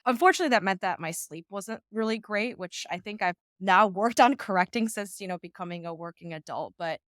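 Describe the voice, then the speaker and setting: A woman's voice: medium pitch, fairly quick, fairly bright tone, no room sound, clean studio sound. A woman in her thirties from the US, a startup founder speaking fluently and reflectively as a podcast guest. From United States. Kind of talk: podcast interview.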